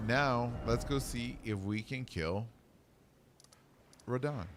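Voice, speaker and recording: A man's voice: mid-range voice, takes it slowly. A middle-aged man giving casual, folksy, joking commentary as he streams a game, rambling as he goes. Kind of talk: casual live gaming commentary. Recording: clearly noisy background.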